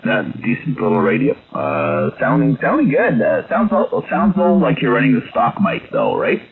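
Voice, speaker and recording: Halting man over narrow-band ham radio. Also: on the high side, huge pitch swings, gravelly. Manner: halting, hesitant delivery with many drawn-out pauses. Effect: received over shortwave radio. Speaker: amateur radio operator. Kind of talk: casual radio chat. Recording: narrow-band radio signal, faint static.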